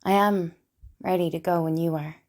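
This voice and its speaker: A woman playing a lonely, wry, broken woman confiding in Death in an intimate, hesitant theatrical monologue, with a husky, breathy voice. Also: lowish voice, fairly even intonation, moderate pace, darkish tone, natural voice. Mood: tender, wistful. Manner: confessional, self-deprecating, hesitant. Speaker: writer and performer of a one-woman play.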